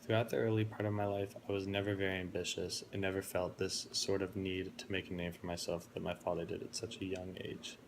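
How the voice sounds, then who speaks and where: Low, flat-voiced young man giving a speech. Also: brisk, hardly any pauses, background noise. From Florida, United States. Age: 20s. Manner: earnest, steady, reflective. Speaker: college student giving a speech. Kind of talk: prepared speech of introduction.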